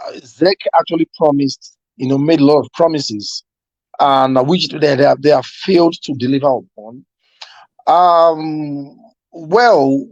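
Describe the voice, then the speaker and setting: A man from Nigeria calling in to give his opinion, speaking in a measured way with many fillers. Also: medium pitch, a little mellow, no room sound, dull audio. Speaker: caller. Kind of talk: call-in opinion.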